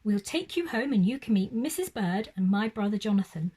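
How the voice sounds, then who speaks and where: A woman's voice: mid-range voice, lively intonation, fairly quick, dark tone, husky. A woman voicing a kind young girl with a friendly, reassuring way of talking. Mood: encouraging.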